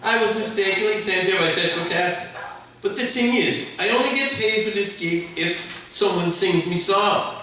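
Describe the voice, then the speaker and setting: A man's voice: high-pitched, moderate pace, pushed and bright, slight background noise. A man playing a proud, plucky shusher from Derry, in a live old-time radio comedy. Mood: apologetic. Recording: echoey live room, distant mic.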